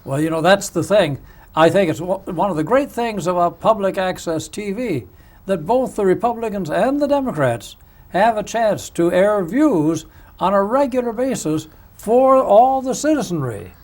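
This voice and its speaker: Man hosting a local TV talk show, genial and welcoming, voice pitched high. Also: expressive, normal speed, darkish tone. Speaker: host. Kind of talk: hosting a public access TV interview show.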